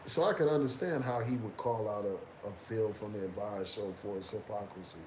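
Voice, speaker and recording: A man's voice: lowish voice, a little fast. A man with a cold, sniffling as he comments loosely to his viewers, with lots of "um" and "man.". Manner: loose, conversational, fillers like "man" and "um". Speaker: YouTube commentator reading chat. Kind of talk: casual live-stream commentary. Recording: echoey room with background noise.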